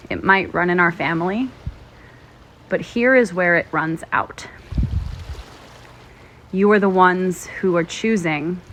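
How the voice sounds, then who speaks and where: A woman narrating a guided meditation in a gentle, soothing, measured way, pausing often between phrases. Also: lowish voice, a little hiss or hum. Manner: gentle, soothing, measured delivery with frequent pauses.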